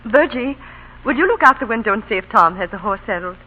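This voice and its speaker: A woman's voice: a little high, animated, fast talker, close to an everyday voice. An actress playing an anxious, devoted wife and mother, earnest and emotional, in a vintage radio drama. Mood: worried. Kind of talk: 1930s-40s radio drama acting. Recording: tinny, faintly noisy old radio broadcast.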